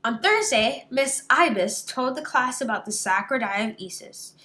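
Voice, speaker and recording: A woman narrating a children's picture book aloud in an expressive storytelling style. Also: fairly high pitch, a little slow.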